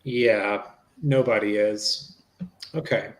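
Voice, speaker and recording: A man's voice: on the low side, fairly even intonation, takes it slowly, rough recording. A man reading the part of a police interviewer in a dramatic transcript reading, asking conversational, matter-of-fact questions. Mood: probing, matter-of-fact.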